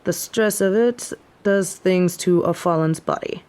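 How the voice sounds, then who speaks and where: A woman's voice: lowish voice, unhurried, darkish tone, smooth, close to an everyday voice. A woman playing a snobby fallen angel who is sick with a head cold, speaking in formal, archaic phrases for an audio drama. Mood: puzzled, weary.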